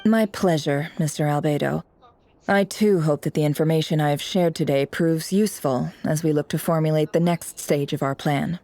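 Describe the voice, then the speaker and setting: Poised female informant, low-pitched, dark mellow tone, formal, anime game. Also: normal speed, a little hiss or hum. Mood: gracious.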